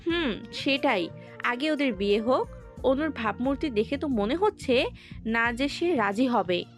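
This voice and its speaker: A woman's voice: a little high, lively intonation, unhurried, faint music behind. A woman playing a cautious, teasing young girlfriend in an audio love story, speaking in a conversational, sceptical way. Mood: doubtful. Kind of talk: dramatised audio story dialogue.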